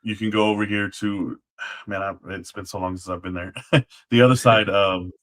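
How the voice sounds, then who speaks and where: A man with a low-pitched voice speaks as a guest in a casual interview. He explains things informally and haltingly, often trailing into asides as he tells his stories. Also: steady tone, a bit bright. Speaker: guest, former Alamo guard.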